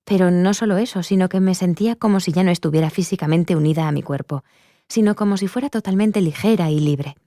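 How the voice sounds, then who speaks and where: A woman's voice: fairly low pitch, quick pace, warm tone, dry recording, studio quality, lightly acted. A woman voicing an earnest, reflective woman giving a personal testimony in a dubbed interview. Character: earnest adult woman recounting her near-death experience.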